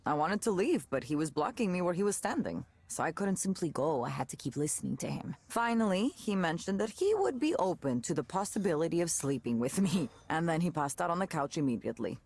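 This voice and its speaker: An actress playing a weary, candid former tech worker in a visual novel, speaking in a wry, conversational way with halting asides. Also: female voice, fairly low pitch, very animated, a little mellow, slightly rough, dry recording, exaggerated voice. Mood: uneasy, sardonic. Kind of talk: naturalistic visual novel voice acting.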